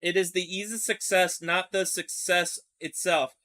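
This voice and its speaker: A man voicing a solemn former diplomat and canal developer in formal, measured oratory, reading a horror story aloud. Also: sits high, fairly even intonation, noisy background. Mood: solemn, grandiose.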